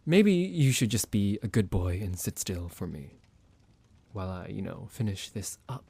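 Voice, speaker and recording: A man's voice: on the low side, lively intonation, normal speed, creaky, dark tone, slightly rough, heavily acted. A man playing a psychotic vampire doctor in an ASMR roleplay, condescending and playful toward a captive, with mock-polite, hesitant, musing delivery. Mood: mock-polite, menacing.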